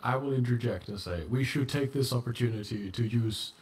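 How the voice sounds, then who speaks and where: A man with a middle-pitched voice speaks earnestly while playing a tabletop game. He sounds like a player on an online voice call. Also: a little fast. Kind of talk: casual chat, partly in character.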